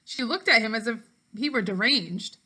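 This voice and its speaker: A woman teacher reading a story aloud to children, clearly and expressively, speaking directly to her pupils. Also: on the high side, lively intonation, normal speed, pushed and bright. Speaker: teacher reading a book aloud to fifth graders.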